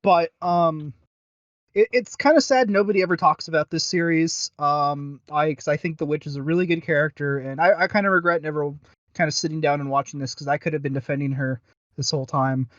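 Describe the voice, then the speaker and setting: A man with a fairly high voice hosts a casual podcast review. He talks in a halting, self-deprecating way, recorded very dry with a slightly muffled, lo-fi sound. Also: a bit bright, dry recording. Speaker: podcast host. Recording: dry but dull lo-fi audio.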